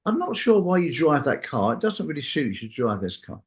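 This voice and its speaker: A man's voice: a little high, sing-song, a little fast. A man in casual conversation, talking in a rambling, anecdotal way and acting out bits of reported dialogue.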